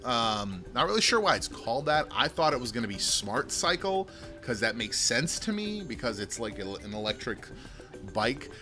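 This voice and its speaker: Loud, bright-voiced man hosting tech. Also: medium pitch, big pitch swings, moderate pace, faint music behind. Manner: loud speaking voice; rambling, repeats and restarts phrases. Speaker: tech video host. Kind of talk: casual commentary. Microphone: microphone close in front.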